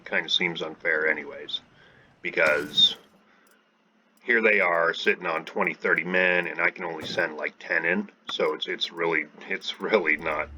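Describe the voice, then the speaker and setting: A man's voice: on the low side, pushed and bright, highs cut off. A man casually commentating his gameplay as a channel host, thinking aloud with laid-back restarts and fillers. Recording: game music playing under the voice. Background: game music.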